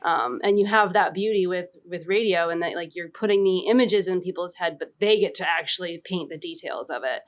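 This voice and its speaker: A woman's voice: fairly low pitch, fairly quick, hardly any pauses. A woman co-hosting a podcast, talking in a casual, reflective conversational style. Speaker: podcast co-host.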